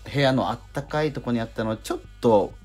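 A man's voice: medium pitch, fast, a little mellow, faint music behind. A man co-hosting a radio show, chatting casually and telling a rambling story full of fillers. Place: radio studio.

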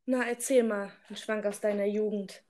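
A woman's voice: medium pitch, fairly even intonation, normal speed, mellow. A woman in her early twenties co-hosting a podcast in a casual, unscripted chat, prompting her partner along. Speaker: podcast co-host.

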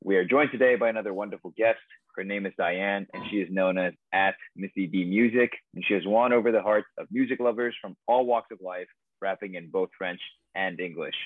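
A man hosting a casual podcast, introducing a guest in a relaxed way with frequent ums. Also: sits low, a little fast, dry recording. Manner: relaxed, lots of ums and fillers. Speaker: podcast host. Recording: tinny phone-like dry audio.

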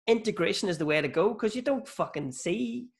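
A Northern Irish man tells stories in casual chat, animated and quick to swear. His voice sits a little higher than most men's. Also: vocal fry. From Northern Ireland, near South Armagh.

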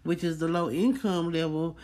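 A woman's voice: on the low side, fairly even intonation, moderate pace, darkish tone. A woman hosting a book club in a casual chat, informal and repeating phrases for emphasis.

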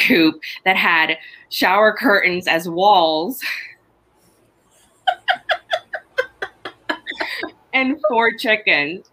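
A middle-aged woman from Honduras, a farmer chatting casually and telling stories about her farm. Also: lowish voice. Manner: chatty, animated storytelling with laughs. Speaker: farmer, podcast guest. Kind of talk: casual chat.

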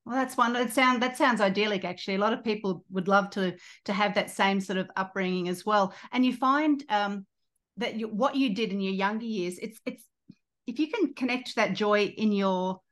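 A woman hosting a podcast in a conversational interview style, with halting, self-interrupting phrasing. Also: mid-range voice, a bit bright. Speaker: podcast host.

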